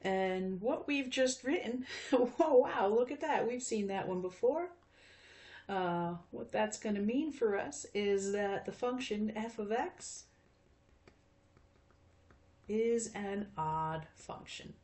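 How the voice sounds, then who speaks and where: Woman in her fifties, math teacher, friendly chatty delivery, mid pitch, recorded lesson. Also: expressive, slow, darkish tone. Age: 50s. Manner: friendly, chatty, with frequent fillers.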